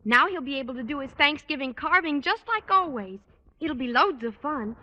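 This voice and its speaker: A woman's voice: sits high, expressive, normal speed, pushed and bright. An American woman acting a sweet, earnest, naive teenage schoolgirl in an old radio sitcom. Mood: cheerful. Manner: chirpy, earnest. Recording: muffled old radio broadcast.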